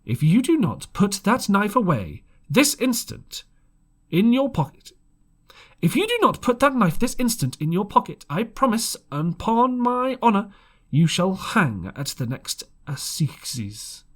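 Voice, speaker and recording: Man acting a high-voiced, stern gentleman doctor. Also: sing-song, a little slow, exaggerated voice. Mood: firm warning. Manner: high, firm, emphatic, formal. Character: calm, stern, fearless gentleman doctor and magistrate.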